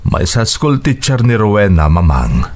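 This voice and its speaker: Deep-voiced, earnest Ilocano radio-drama son. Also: male voice, very animated, moderate pace, darkish tone. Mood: earnest, reassuring. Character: earnest, devoted widowed father, reassuring adult son. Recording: radio drama with background music.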